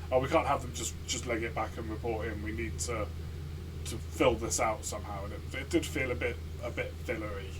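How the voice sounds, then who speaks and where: A man, a player and co-host, chatting casually in a loose, halting way. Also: mid-range voice, steady tone. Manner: loose, halting, laughs at his slips. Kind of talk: casual review chat. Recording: distant mic with noticeable hiss.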